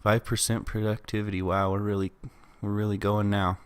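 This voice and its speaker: A man's voice: fairly low pitch, level tone, a little fast, clear, resonant tone, no room sound. A man with a cold, sniffling and coughing as he plays, casually talking through a farming game and unsure of what he's doing. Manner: easygoing, unsure, thinking aloud. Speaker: gaming channel host. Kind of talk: casual gameplay commentary.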